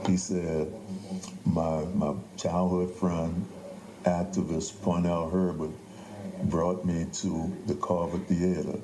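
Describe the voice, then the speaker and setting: An older man, a playwright talking reflectively and haltingly as an interview guest. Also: sits low, flat delivery. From New Orleans, United States. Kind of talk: conversational interview. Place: echoey indoor theater. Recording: echoey room, faint background noise.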